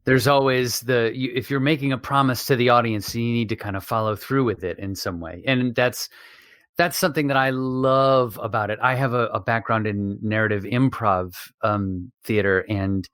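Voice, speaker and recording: A man's voice: mid-range voice, steady tone, normal speed, fairly bright tone, clean tone, dry recording, studio quality. A man speaking casually in a conversational podcast discussion.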